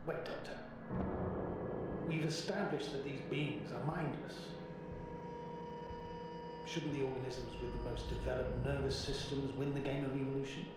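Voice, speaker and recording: A level-headed male officer played by an actor in a science fiction game, heard from a distance in heavy echo. He reasons earnestly and formally, with loud noise all around him. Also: mid-range voice, fairly even intonation, moderate pace, long pauses, close to an everyday voice. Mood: questioning. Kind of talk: video game dialogue acting.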